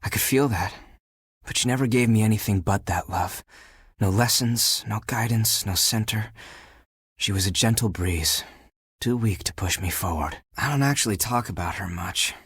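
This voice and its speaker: Game role: living laundry heartthrob, husky and breathy, casual flirt with an insecure streak, close dry sound. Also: male voice, lowish voice, moderate pace, a bit bright, no echo. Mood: wistful. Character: flirty, laid-back living pile of male laundry, secretly insecure. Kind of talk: video game dating character acting.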